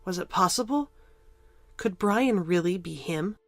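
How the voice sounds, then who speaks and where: A woman's voice: on the low side, expressive, measured pace, no room sound. A woman narrator reading scripted fiction for an audio drama.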